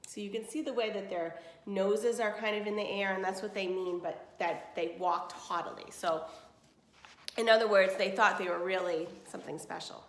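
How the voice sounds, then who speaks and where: A woman reading a picture book aloud expressively, pausing to explain things plainly to listeners. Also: mid-range voice, fairly even intonation. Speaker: read-aloud storyteller. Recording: roomy, echoey indoor sound.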